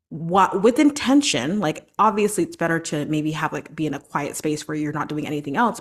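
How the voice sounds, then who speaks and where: Chatty woman vocal coach, lowish voice. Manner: chatty and encouraging, frequent "like" and "right?". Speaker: podcast host and vocal coach. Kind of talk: solo podcast monologue.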